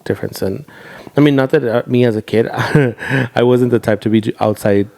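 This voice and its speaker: A man's voice: mid-range voice, gravelly, darkish tone. Male podcast co-host, casual and hesitant, lots of restarts, easy laughter. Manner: halting, many fillers and restarts, laughs.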